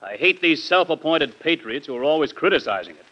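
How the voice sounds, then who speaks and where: An actor playing a president's proud, defiant grown son in an old-time radio drama, clipped and defensive. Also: male voice, a little high, lively intonation, fairly quick. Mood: defensive, indignant. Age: young adult. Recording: dull, band-limited old radio recording.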